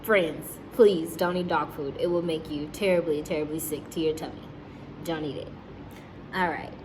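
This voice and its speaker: A woman's voice: low voice, moderate pace. Encouraging woman storytime teacher, noisy recording. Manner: animated, encouraging, addresses listeners as friends. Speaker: teacher and children's storytime host. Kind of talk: read-aloud storytime with asides to young children and caregivers.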